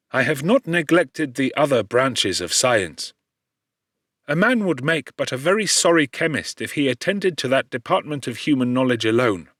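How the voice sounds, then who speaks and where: A man's voice: medium pitch, fairly bright tone, no echo, studio quality. A man voicing an eloquent, kindly chemistry professor in formal, lecturing tones for an audiobook. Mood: affable, advising. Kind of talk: audiobook character voice.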